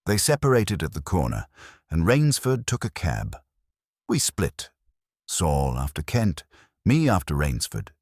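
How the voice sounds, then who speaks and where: A man's voice: low-pitched, huge pitch swings, a little slow, a little mellow, dry recording, studio quality. A man reading a first-person detective story as a scripted narration, with a wry, hardboiled delivery. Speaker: audiobook narrator.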